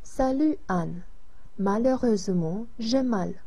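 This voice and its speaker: A young woman ill with a cold and fever describes how she feels, as a woman reads her part. The voice is slow, mellow and a little breathy, in the even style of a lesson recording. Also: mid-range voice, exaggerated voice. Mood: unwell.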